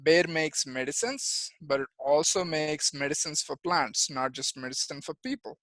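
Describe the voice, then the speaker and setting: A man in his thirties from India talks to schoolchildren over a video call, his voice a little raised in pitch. The sound is close and dry, with no room echo at all. He explains slowly and simply, stopping and restarting often as he looks for easy examples. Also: a bit bright. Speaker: guest engineer and project manager. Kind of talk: presentation for young children.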